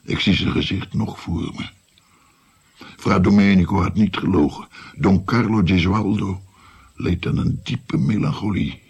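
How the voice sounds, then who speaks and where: A man playing an old grandfather in a radio drama, recounting his dark past with halting, musing delivery and a gravelly, breathy voice. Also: low voice, muffled recording, exaggerated voice. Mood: brooding, reminiscing. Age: older. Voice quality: husky, gravelly, often breathy. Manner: halting, musing, drops to whispers.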